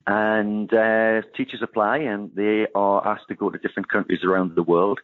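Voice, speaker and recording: Geordie chef guy on a phone line, lowish voice, chatty and laughy, lots of uhs, dry sound. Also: flat delivery, moderate pace, no room sound. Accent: Geordie English. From northeast England. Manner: chatty, laughs easily, frequent "uh" fillers. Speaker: chef guest. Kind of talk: casual interview chat.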